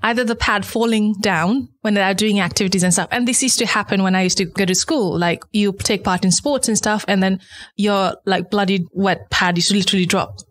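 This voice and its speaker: A woman's voice: mid-range voice, fairly even intonation, brisk. A woman from eastern Nepal with a Nepali accent, answering interview questions in an explanatory, outspoken, conversational way. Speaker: interview guest, company founder.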